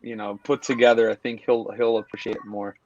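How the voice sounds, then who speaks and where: A man's voice: on the low side, little pitch movement, brisk, a little mellow, dull audio. A man from Brazil hosting a casual video call interview, talking in a friendly, rambling way with restarts. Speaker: podcast host.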